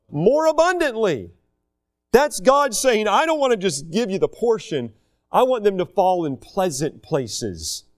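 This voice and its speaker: A man in his thirties preaching a sermon, reading scripture aloud and explaining it with quick asides. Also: sits high. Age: 30s. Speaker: pastor.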